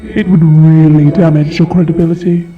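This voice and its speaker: A man's voice: fairly high pitch, flat delivery, normal speed, narrow, tinny audio, close to an everyday voice. A man voicing a haughty, sardonic dragon in an audio roleplay, with a taunting, theatrical delivery. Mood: mocking. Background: fantasy game-style music.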